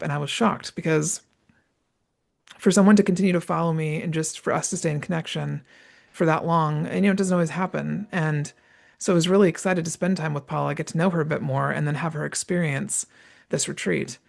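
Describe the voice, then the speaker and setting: A woman host delivering a solo podcast monologue, her voice heavily gravelly with strong fry. She talks conversationally and reflectively, tossing in tag questions and fillers. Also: low-pitched. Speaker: podcast host and retreat organizer.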